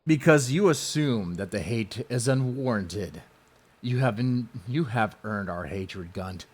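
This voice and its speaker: A man's voice: fairly low pitch, animated, unhurried, husky. A man hosting mocking commentary in a sardonic, theatrical way. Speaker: host. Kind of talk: mocking commentary on a post he reads.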